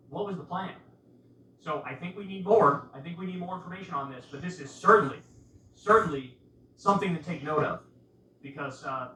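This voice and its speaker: A man with a forceful, somewhat high voice delivers casual political commentary in an insistent way, leaning on rhetorical questions. He sounds set back from the mic in a roomy space with faint noise. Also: far from the mic.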